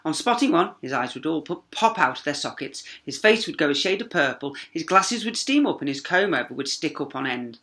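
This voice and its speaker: A man's voice: fairly high pitch, very animated, pushed and bright. A man reading a children's story aloud like a primary teacher, dramatic and emphatic. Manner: dramatic, with emphatic pauses and sound words.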